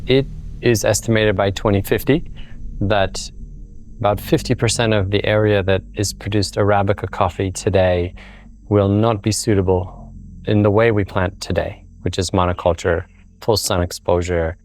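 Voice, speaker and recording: A man's voice: on the low side, steady tone, normal speed, darkish tone. A man in his thirties with an American accent, speaking reflectively as a farmer in a documentary interview. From United States (born in Brazil, raised in the US). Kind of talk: documentary interview, explanatory storytelling.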